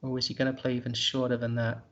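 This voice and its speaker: A man's voice: mid-range voice, monotone, fast talker, lo-fi sound. A man commentating a live board game, thinking aloud in a hesitant, musing way.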